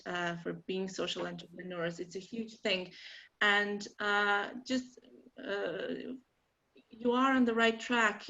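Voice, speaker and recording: Gravelly Bosnian woman presenting online. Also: fairly low pitch, fairly bright tone. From Bosnia and Herzegovina. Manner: earnest, encouraging, with frequent ums. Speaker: marketing trainer. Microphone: video call. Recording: lo-fi video call audio.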